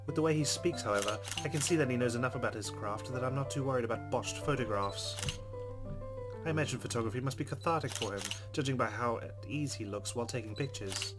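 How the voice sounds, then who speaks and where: A man's voice: mid-range voice, quick pace, music in the background. A man hosting a let's play, expressively reading a visual novel's narration aloud and adding his own reflective reactions.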